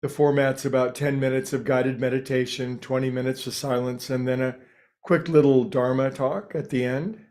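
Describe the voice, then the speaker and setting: A man leading a guided meditation, speaking calmly and without hurry, with pauses between short phrases. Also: medium pitch. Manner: calm, unhurried, pausing between short phrases. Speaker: meditation teacher and host.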